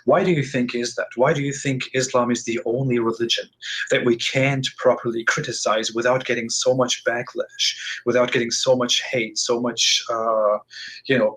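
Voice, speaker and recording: Flat-voiced male host, hesitant. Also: medium pitch, moderate pace, slightly rough, low-quality recording. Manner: hesitant, frequent restarts. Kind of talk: hosting an audio call interview.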